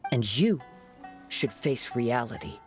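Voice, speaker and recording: A young wandering swordsman from a fantasy game, composed and principled. He speaks earnestly in formal, eloquent phrases at a middling pitch, over light music. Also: expressive, slow pace. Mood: firm, reproachful. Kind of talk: dramatic video game acting.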